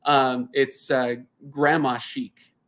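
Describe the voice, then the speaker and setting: A man in his thirties hosting a casual live Q&A, chatting and thinking out loud as he answers viewer comments, often restarting his sentences. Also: medium pitch, level tone, slow. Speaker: channel host.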